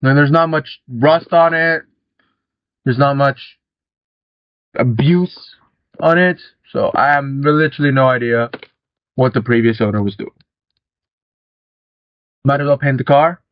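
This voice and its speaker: A young man giving relaxed, rambling gameplay commentary, swearing casually as he talks. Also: mid-range voice, a little slow, long pauses, no echo, dull audio. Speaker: gaming channel host.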